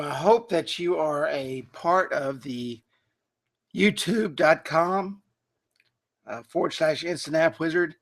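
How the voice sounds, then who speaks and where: Hoarse chatty man. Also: on the high side, a bit bright. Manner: rambling, chatty, many fillers and restarts. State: hoarse, losing his voice. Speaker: online business trainer. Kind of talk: casual live webinar talk.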